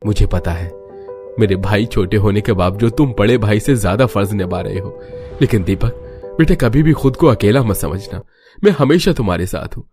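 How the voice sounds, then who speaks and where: A man voicing a calm, forgiving elder brother in a cartoon story, measured and reassuring. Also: lowish voice, music in the background. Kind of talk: Hindi cartoon story dialogue.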